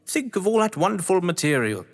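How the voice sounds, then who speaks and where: An actor playing a whimsical, scheming crime-novelist lord of the manor, with a British accent and a pompous, florid delivery, in a stage-thriller audio drama. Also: male voice, high-pitched, sing-song, a little fast. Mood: exasperated, rousing. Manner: florid, pompous, playfully grandiose. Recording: long hall-like reverb.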